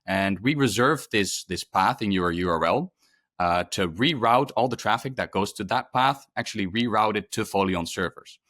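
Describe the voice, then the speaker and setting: A man's voice: on the low side, normal speed, a bit bright, no echo, studio quality. A man giving an unscripted webinar explanation as a product specialist, feeling his way through with repeated words.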